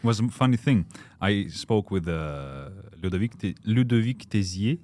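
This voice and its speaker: Low-voiced, matter-of-fact co-host. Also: male voice, lively intonation, normal speed, darkish tone. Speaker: podcast co-host. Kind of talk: casual chat.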